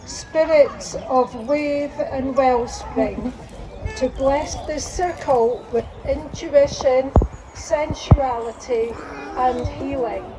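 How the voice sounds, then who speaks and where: A woman making a measured, declamatory ceremonial invocation at an outdoor ceremony. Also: high-pitched. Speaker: ritual celebrant. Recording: very noisy live ceremony recording.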